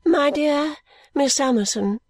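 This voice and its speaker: A woman's voice: high-pitched, takes it slowly, no room sound, voice pushed up. A woman reading an audiobook, voicing a proud, self-assured middle-aged lady who lectures at length. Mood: lecturing.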